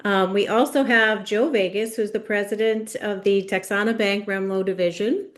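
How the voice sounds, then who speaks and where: Woman podcast host, eager intro style, mid pitch, lots of "um"s. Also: steady tone. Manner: eager, fills pauses with "um". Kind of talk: casual interview hosting.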